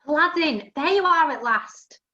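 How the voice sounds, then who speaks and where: A woman's voice: high-pitched, expressive, measured pace, pushed and bright, full character voice. A woman playing a chatty, good-natured young laundry lad who narrates a rhyming pantomime, on a lo-fi recording. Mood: chatty, cheerful. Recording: dull lo-fi sound.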